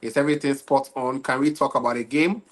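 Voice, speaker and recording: A man's voice: mid-range voice, steady tone, fast talker. A young man hosting a casual football livestream from Ghana, tired and loose, repeatedly checking in with his viewers. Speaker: livestream football talk host.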